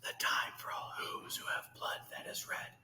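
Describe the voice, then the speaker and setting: A man voicing a tiny, excitable, urgent town mayor in a storybook read-aloud. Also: moderate pace, in a whisper, distant mic. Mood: grave, urgent. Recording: echoey room with long reverb.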